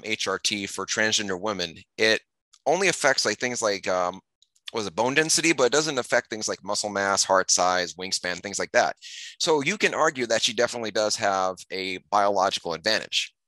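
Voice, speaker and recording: Guy host on a headset mic, hesitant, bit apologetic, low voice, dry sound. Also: pushed and bright, no room sound, rough recording. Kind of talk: casual video-call interview. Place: home room.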